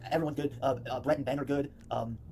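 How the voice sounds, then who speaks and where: A man's voice: mid-range voice, fairly even intonation, fast, creaky, darkish tone, a little hiss or hum. A man with a put-on British accent answering viewer questions in a rambling, filler-heavy way on a casual stream. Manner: rambling, many fillers and restarts. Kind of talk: casual stream talk in character.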